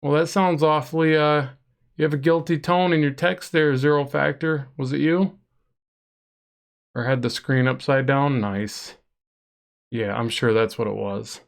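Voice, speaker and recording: A man's voice: a little high, fairly even intonation, measured pace, gravelly. A man with a nasal voice chatting casually with viewers while drawing on a live stream, rambling and reading chat aloud. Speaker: comic artist streaming a drawing session.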